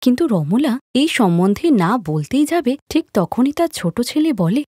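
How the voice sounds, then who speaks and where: A woman's voice: a little high, very animated, moderate pace, mellow, slightly rough, no echo, studio quality. A woman narrating a scripted cartoon story as a storyteller.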